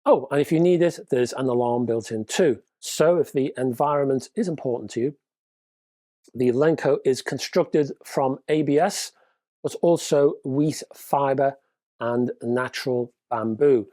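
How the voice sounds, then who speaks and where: A man's voice: mid-range voice, measured pace, dark tone, dry recording. A man hosting a hi-fi news roundup, reading out product details in a casual, chatty way with offhand asides. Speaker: host.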